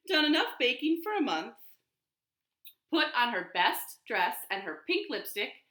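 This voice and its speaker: A woman hosting storytime for young children, speaking in an animated, clearly paced way as she shares rhymes and picture books from her living room. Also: medium pitch. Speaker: children's storytime host at a library. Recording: roomy, echoing home living room.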